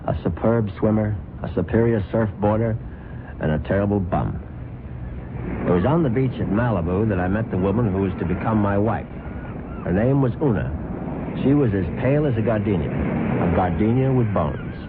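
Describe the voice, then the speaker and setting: A sardonic former beach bum and fortune hunter, played by a man, telling his tale in a low, dry voice. The sound is thin and tinny like an old radio broadcast, with steady hiss underneath. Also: natural voice. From born in London. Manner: dry, wry first-person narration. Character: charming male beach bum turned fortune-hunting husband. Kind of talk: 1970s radio drama acting.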